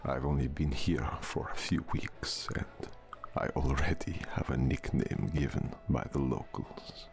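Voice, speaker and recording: Casting: male actor as ancient vampire count, extremely low and slow, suave, formal, intimate ASMR drama with music. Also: exaggerated voice. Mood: suave, amused. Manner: formal, measured, suave, often breathy. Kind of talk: intimate ASMR audio roleplay. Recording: music behind the voice.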